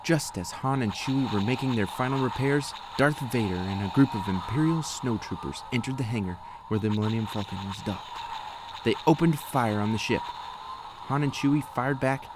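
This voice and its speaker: A man with a medium-pitched voice tells a scripted storybook tale. He reads as the narrator, and there is clear background noise on the recording. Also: moderate pace.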